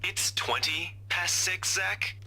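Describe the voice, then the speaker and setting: An actor playing a young policeman, an earnest, teasing friend in a visual novel, with a husky edge. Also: high voice, slow pace, sharp tone, full character voice.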